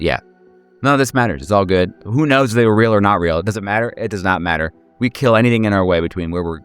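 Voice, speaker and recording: Low, smooth-voiced man running a horror game. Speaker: game master and host. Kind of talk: improvised horror tabletop game narration mixed with casual chat.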